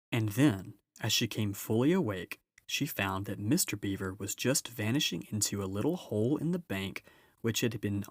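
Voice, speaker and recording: A man's voice: lowish voice, dry recording, clean studio sound. A man reading a children's storybook as scripted narration. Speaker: storybook reader.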